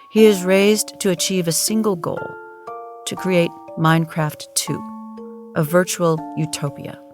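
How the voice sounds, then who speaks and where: A woman giving solemn, measured, deadpan narration for an audio drama in a documentary style. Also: low-pitched.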